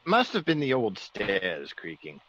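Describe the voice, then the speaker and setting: Husky, animated English gentleman. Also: fairly low pitch, a little slow, exaggerated voice. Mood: genial, dismissive. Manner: polite, old-fashioned upper-class exclamations. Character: genteel, easygoing English gentleman of old family. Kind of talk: classic radio drama acting. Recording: dull old radio recording.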